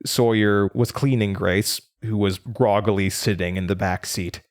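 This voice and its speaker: A man's voice: on the low side, steady tone, unhurried, lightly acted. A man playing a shaken young college student, narrating a horror audio drama in a dramatic, haunted way that stammers under stress. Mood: haunted, sombre. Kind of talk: horror audio drama first-person narration, acting out dialogue. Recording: music bed under the voice. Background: music.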